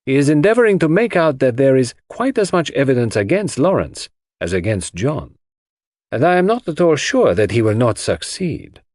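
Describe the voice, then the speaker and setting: A shrewd, unhurried detective acted by an audiobook narrator, a man. He reasons deliberately and analytically in a husky voice with lively swings of pitch. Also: dry recording, clean studio sound. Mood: shrewd, musing.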